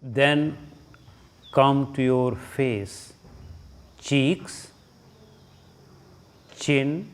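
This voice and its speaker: A man with an Indian accent leading a guided relaxation as a yoga teacher, giving short instructions with long pauses between them. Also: mid-range voice, fairly even intonation, smooth.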